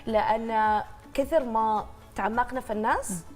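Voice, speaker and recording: A woman's voice: fairly high pitch, moderate pace, faint music behind. A woman, an actress in an interview, explaining earnestly. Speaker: actress guest. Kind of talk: casual interview talk.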